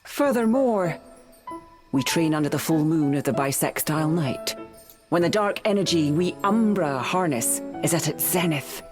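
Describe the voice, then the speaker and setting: Low-voiced, haughty witch mentor. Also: lively intonation, normal speed. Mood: instructing. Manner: imperious, scolding, formal. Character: stern, haughty older witch mentor, woman. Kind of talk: dramatic video game acting. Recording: music behind the voice.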